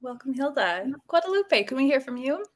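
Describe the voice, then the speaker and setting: A woman's voice: high-pitched, very animated, a little fast, slight background noise. A woman co-hosting and moderating an author panel in a friendly, slightly hesitant way. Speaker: co-host, moderator.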